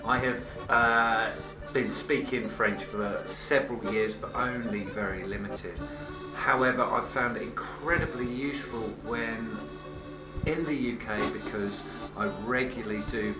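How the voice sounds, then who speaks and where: A man teacher giving a measured, friendly statement to camera. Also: on the low side. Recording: echoey room, loud music.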